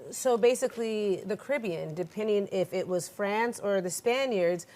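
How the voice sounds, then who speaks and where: A woman's voice: mid-range voice, moderate pace, a crowd in the background. A woman guest on a podcast panel, emphatic and explaining things in a teaching tone. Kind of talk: panel discussion. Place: podcast studio.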